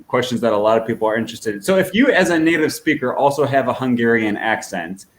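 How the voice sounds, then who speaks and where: A man leads a relaxed interview and keeps the guest talking with short, friendly agreements. His voice sits at a middle pitch. He asks follow-up questions in a conversational way. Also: fast talker, slight background noise. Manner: attentive, frequent short agreements. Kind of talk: casual conversation.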